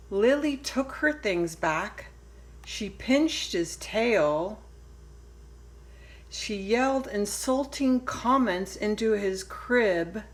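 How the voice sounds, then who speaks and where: Slow-paced woman reading a storybook aloud. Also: mid-range voice. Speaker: storybook reader. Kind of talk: children's picture book read-aloud.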